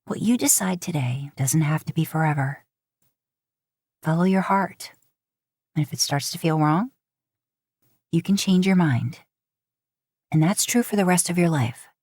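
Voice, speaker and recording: A woman voicing a steady, caring, middle-aged motherly character in a story. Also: low voice, measured pace, long pauses, slightly rough, no echo, clean studio sound. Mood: reassuring.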